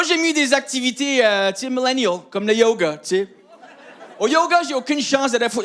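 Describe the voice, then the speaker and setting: A man's voice: extremely high pitch, fairly bright tone. A man in his thirties with an Acadian French accent, performing a stand-up routine in a chatty, self-mocking way and often laughing at his own lines. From New Brunswick, Canada. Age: 30s. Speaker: stand-up comedian. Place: comedy stage before a live audience. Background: faint audience laughter.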